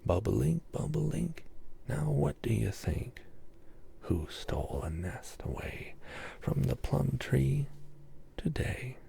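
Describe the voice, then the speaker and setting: A man reading a children's poem aloud in a soft, gentle ASMR whisper, then chatting quietly about the book. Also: mid-range voice, expressive, slow pace, vocal fry, slight background noise. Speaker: reader.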